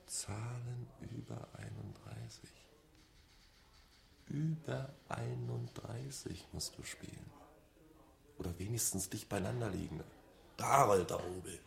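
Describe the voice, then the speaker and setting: An actor in a radio drama playing an excitable but hesitant colleague, a reluctant accomplice with a colloquial, exclaiming way of talking. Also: male voice, fairly low pitch, slow, creaky, pauses often, exaggerated voice. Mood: astonished, know-it-all. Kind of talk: German radio drama acting. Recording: roomy echo.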